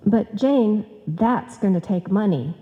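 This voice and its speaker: A woman's voice: mid-range voice, lively intonation, slow pace, dull audio. An actress playing a sensible, hesitant female secretary who questions everything practical in a live old-time radio comedy. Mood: doubtful, practical. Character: sensible, doubtful female office secretary and reluctant partner. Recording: live hall recording, long reverb, music.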